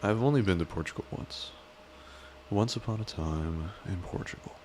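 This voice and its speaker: Deep-voiced joking male streamer. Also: normal speed, darkish tone, slight background noise. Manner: rambling, joking, self-deprecating. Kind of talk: casual live-stream chat.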